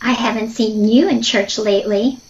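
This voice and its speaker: A woman's voice: fairly high pitch, fairly even intonation, moderate pace, lightly acted. A woman playing an earnest, easily spooked sister who chides and scolds in an audio drama. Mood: chiding. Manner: chiding. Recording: dull lo-fi audio, faint noise.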